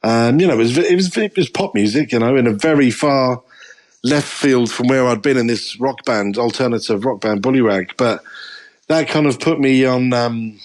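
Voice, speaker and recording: A middle-aged English man speaks as a podcast guest in a mid-pitched, everyday voice. He tells his story candidly and thoughtfully, often pausing on "you know". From England. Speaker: guest drummer. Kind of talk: podcast interview.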